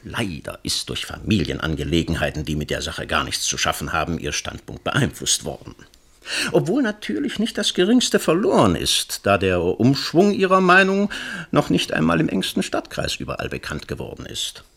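A pompous political go-between in a 1960s radio play. His voice is very low and husky, and it swings widely as he winds through long, smooth-talking, oratorical sentences. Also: wildly swooping pitch, moderate pace, fairly bright tone, heavily acted. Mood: pompous persuading. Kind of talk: German satirical radio play acting, 1960s.

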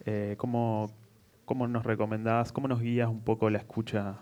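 A man, presenting and asking questions conversationally as a radio host, a little haltingly. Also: fairly low pitch, flat delivery, a little mellow, dull audio.

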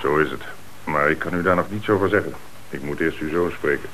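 An actor in a Dutch radio play playing a police commissioner who puts terse, probing questions with businesslike authority. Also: male voice, very deep voice, a little fast, a bit bright. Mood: official, firm. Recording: noisy old radio play recording.

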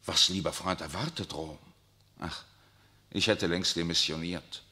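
An actor playing an urbane, worldly papal nuncio in a 1960s radio drama, speaking with a suave, ironic, lecturing manner. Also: male voice, sits low, a little slow, sharp tone, heavily acted.

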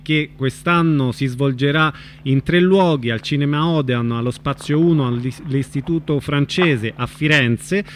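A man's voice: a little high, fairly bright tone, a little hiss or hum. A man hosting a radio interview in an informal, friendly, conversational way. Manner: informal, friendly, frequent backchannel agreement.

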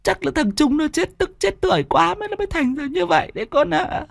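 A man voicing a grieving middle-aged mother in an audio horror story, tearful, with drawn-out sobbing. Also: very high voice, animated, gravelly, no room sound, pitched above the performer's own voice, exaggerated voice. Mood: tearful, bitter.

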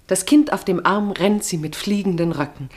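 Low-voiced female radio-play narrator. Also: normal speed, a little mellow.

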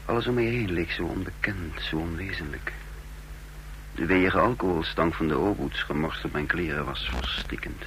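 An actor narrating a radio play in the first person as a dazed, weary condemned prisoner, halting and brooding. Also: male voice, low-pitched, a bit bright, low-quality recording. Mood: bleak, dazed. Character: dazed, brooding condemned prisoner telling his own story. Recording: old radio recording with faint hum.

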